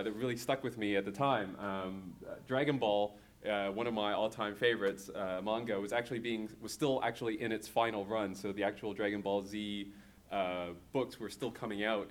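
A comics creator in his thirties gives a talk to an audience in a somewhat low voice. He is from Hong Kong. He often pauses with fillers and restarts his sentences. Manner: hesitant, many fillers and restarts.

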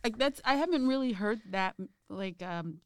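A woman's voice: mid-range voice, animated, normal speed, clean tone, no echo. A woman interviewing in a casual conversation, thinking aloud and searching for words.

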